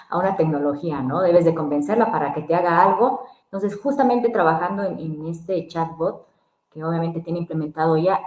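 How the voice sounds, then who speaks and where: Lowish woman explaining in an echoey room. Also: a little mellow. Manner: explanatory, frequent tag "¿no?". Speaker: lead pentester, guest speaker. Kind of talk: technical explanation.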